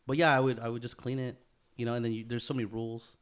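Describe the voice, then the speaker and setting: A male podcast host talks in a loose, slangy way with a middling pitch. He swears freely and doubles up short words, keeping the banter crude and comedic. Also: flat delivery, fast talker, smooth. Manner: profane, slangy, repeats words like "yeah" and "right".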